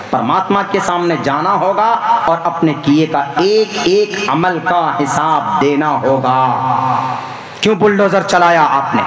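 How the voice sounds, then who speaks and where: Very high-pitched, impassioned Indian male preacher. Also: low-quality recording. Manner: impassioned, declamatory, rhetorical questions. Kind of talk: fiery sermon oratory. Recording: loud public-address speech amid a crowd. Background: crowd at a religious gathering.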